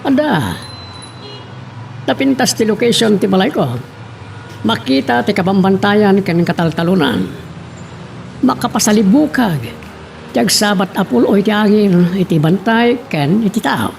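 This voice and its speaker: A man playing a genial middle-aged boss and indulgent father in an Ilocano audio drama, with a creaky voice. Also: sits high, lively intonation, normal speed, long pauses. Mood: genial, inviting. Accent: Ilocano Filipino. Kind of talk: amateur audio drama dialogue. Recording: faint background noise.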